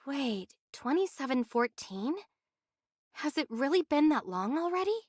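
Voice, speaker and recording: A voice actress playing an earnest young heroine in an anime game, speaking in a direct, inquisitive way. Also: female voice, high voice, very animated, a little slow, darkish tone, no echo. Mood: curious. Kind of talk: scripted anime game voice acting.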